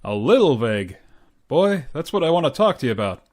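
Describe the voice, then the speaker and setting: A man's voice: mid-range voice, very animated, fairly quick, a little mellow. A man playing a sarcastic, pompous boss in an old-time radio comedy. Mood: sardonic, scolding. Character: sardonic, blustery boss who calls employee "boy".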